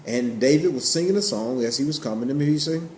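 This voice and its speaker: A man telling a Bible story to children in an animated way, in simple words with repeated phrases and questions. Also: medium pitch, fast talker. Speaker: storyteller, puppet show host. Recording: roomy echo, clear background noise.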